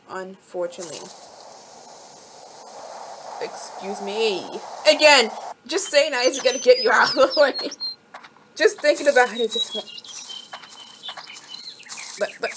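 A woman giving casual let's-play commentary, excitable and chatty, talking straight to the game characters and repeating herself. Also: on the high side, noisy background. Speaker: gameplay commentator. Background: game music.

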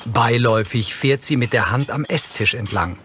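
A man reading scripted audio description for a TV crime drama, matter-of-fact and clearly articulated. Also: medium pitch, normal speed, noisy background. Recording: TV soundtrack playing underneath. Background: loud music and vehicle sounds.

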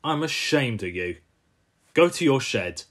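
A dignified railway director from a children's cartoon, acted by a man. He speaks formally and with stern authority, at a measured, slow pace. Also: medium pitch, sing-song.

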